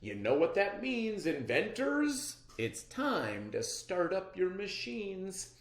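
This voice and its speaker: A storybook reader voicing a showy male contest announcer, loud, theatrical and proclaiming. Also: medium pitch, huge pitch swings, slow, pitched above the performer's own voice, full character voice. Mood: rousing. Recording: roomy, echoey sound.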